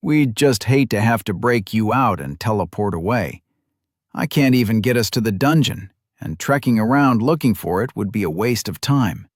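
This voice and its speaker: A man's voice: on the low side, lively intonation, moderate pace, no echo, studio quality. A man voicing a level-headed young male adventurer who reasons and placates, in an audiobook. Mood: placating. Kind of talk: audiobook character acting.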